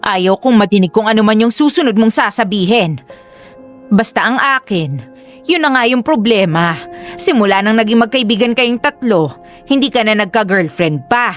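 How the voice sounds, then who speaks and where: A woman's voice: medium pitch, lively intonation, normal speed, close to an everyday voice. An actress playing a domineering, judgmental middle-aged mother in a Tagalog radio drama, scolding and melodramatic. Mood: nagging. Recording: faint background music.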